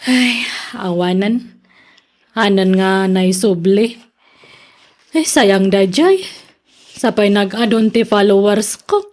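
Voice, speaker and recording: A woman's voice: mid-range voice, slow, long pauses, darkish tone, close to an everyday voice. An actress with an Ilocano accent playing a stubborn, vain woman vlogger hungry for fame, in theatrical radio drama style. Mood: dejected.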